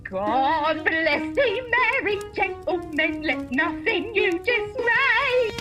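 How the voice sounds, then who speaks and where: A woman's voice: extremely high pitch, sing-song, slow pace, music in the background, full character voice. A woman playing a wide-eyed, grateful poor soul in a radio play, gushing in exclamations. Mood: overjoyed.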